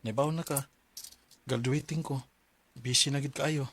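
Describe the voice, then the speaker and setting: An actor with a Filipino accent playing an earnest, sweet-talking young student boyfriend in a radio drama. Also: male voice, mid-range voice, steady tone, normal speed, fairly bright tone, a little hiss or hum, lightly acted. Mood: affectionate, earnest. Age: young adult.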